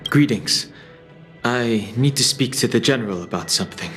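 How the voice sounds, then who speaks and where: An actor voicing a reserved, brooding young man in a video game, speaking in a measured, serious way. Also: medium pitch, lively intonation, a bit bright, faint music behind, lightly acted. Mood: formal, reserved.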